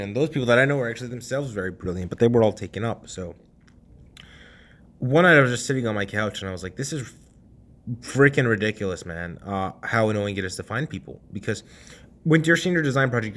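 Young male podcast host, casual storyteller, lively delivery with loud and hushed swings, swears freely. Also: fairly low pitch, quick pace.

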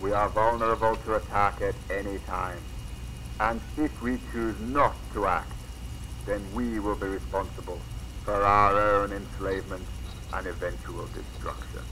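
A man plays a solemn with a low voice, delivering a formal political address. His delivery is measured and oratorical, as in an audio drama, over clear background noise. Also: fairly even intonation, sharp tone, low-quality recording. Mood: grave, urgent. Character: grave, formal British Prime Minister giving a presentation. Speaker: prime minister in an audio drama.

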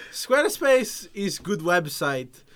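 A man playing a boastful foreign comedian guest on a podcast, speaking broken English with grandiose deadpan self-promotion. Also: high-pitched, takes it slowly, exaggerated voice. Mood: pompous. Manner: broken English, dropping articles. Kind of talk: improvised comedy podcast bit.

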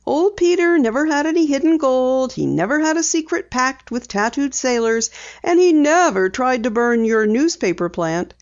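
A woman's voice: expressive, moderate pace, lo-fi sound. A woman doing an eager teenage girl sleuth. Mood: teasing. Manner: bright, earnest, high-pitched pleading.

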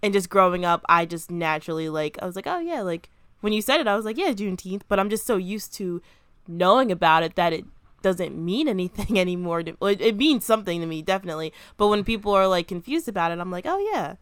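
A woman's voice: fairly low pitch, quick pace, clean tone, dry recording. Chatty young woman co-hosting a podcast. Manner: animated, lots of "like", supportive. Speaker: podcast co-host. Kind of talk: casual conversational podcast.

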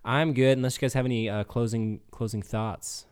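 A man chats casually as a podcast co-host. His voice is medium in pitch and notably smooth and clear. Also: steady tone, normal speed, a little mellow. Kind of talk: casual group podcast chat.

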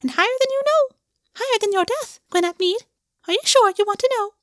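A woman's voice: very high voice, animated, fairly quick, a bit bright, no room sound, clean studio sound, voice pushed up. A woman voicing a mocking paper origami bird with a chirping, papery voice. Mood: taunting. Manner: taunting, riddling.

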